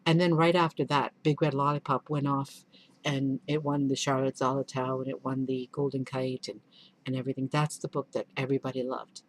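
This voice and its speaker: A woman's voice: a bass voice, flat delivery, fairly quick, low-quality recording. A woman whose first language is Urdu, a children's author speaking candidly and earnestly in a reflective vlog, often restarting and correcting herself. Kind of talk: reflective vlog answering questions.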